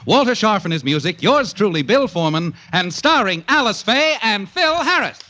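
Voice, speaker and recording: A man's voice: sits high, sing-song, normal speed, dry recording. A man announcing from a script in 1940s radio style on a vintage broadcast recording. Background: loud, clear music.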